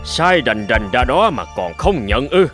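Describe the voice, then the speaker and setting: Man acting a stern, measured old sword master. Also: medium pitch, very animated, a bit bright, faint music behind. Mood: stern lecturing. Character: stern wise old male kenjutsu master, fatherly storyteller. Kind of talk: scripted Vietnamese cartoon dub, telling a parable.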